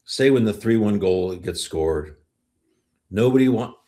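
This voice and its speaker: A man's voice: on the low side, lively intonation, unhurried, dark tone. A middle-aged man hosting a sports podcast, asking and reacting in a conversational interview style. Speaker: podcast host.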